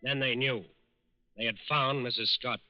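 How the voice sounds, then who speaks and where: A man narrating a 1940s radio crime drama in a deliberate, dramatic manner. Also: mid-range voice, steady tone, dry recording, muffled recording. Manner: deliberate, dramatic repeated phrases. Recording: band-limited 1940s radio recording. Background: dramatic music.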